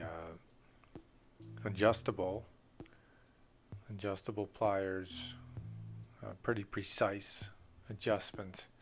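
A man, a plumbing contractor, walks through his tools off camera, talking plainly and unhurriedly with many "uh" fillers. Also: fairly low pitch. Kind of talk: off-camera tool walkthrough.

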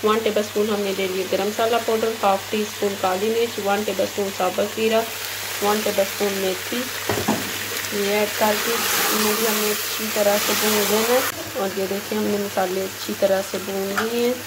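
A woman hosting a cooking channel, calmly listing ingredients and giving step-by-step recipe instructions. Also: mid-range voice, very noisy. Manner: plain, measured listing of ingredients. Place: home kitchen. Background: frying and stirring sounds.

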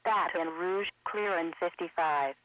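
A synthetic man's voice reading a scripted weather bulletin in a measured, impersonal way as an automated radio announcer. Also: sits high, dry recording. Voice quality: synthetic text-to-speech voice. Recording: muffled radio broadcast.

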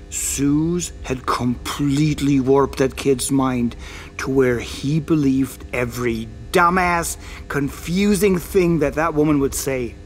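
A man's voice: on the high side, a little hiss or hum. A man playing a crude, unrepentant middle-aged drunkard father in an audio drama, flippant, hesitant and foul-mouthed.

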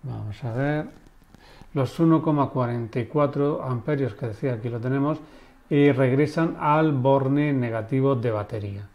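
A man's voice: medium pitch, moderate pace, highs cut off. A man teaching electrical circuits step by step, speaking over a screen recording with some fillers and restarts. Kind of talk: screen-recorded technical lesson.

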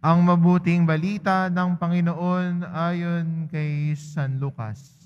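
A man, a priest, proclaiming the gospel at Mass in a slow, deliberate way. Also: on the high side, little pitch movement, smooth. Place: large church. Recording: dull, reverberant church sound.

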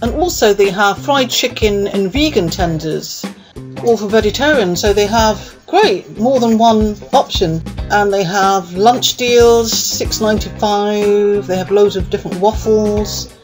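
A woman vlogger talking casually in a voice-over, haltingly thinking aloud as she goes. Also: mid-range voice. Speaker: food vlogger. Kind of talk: casual voice-over reading through a menu. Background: plucked guitar music.

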